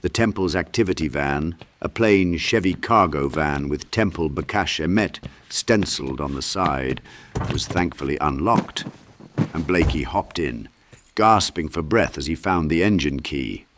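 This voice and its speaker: Low-voiced man narrating dark horror fiction. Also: expressive, measured pace, background noise. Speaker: audiobook narrator. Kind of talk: dramatic scripted narration of a horror story. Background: sound effects with clicks.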